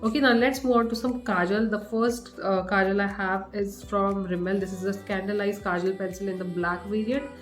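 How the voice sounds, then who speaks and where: A woman's voice: medium pitch, steady tone, moderate pace, hardly any pauses. Groggy-voiced Indian woman reviewing makeup. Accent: Indian English. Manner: chatty, with many fillers and restarts. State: groggy voice from a cough. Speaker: beauty vlogger. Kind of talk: casual product review to camera. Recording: echoey room with faint music.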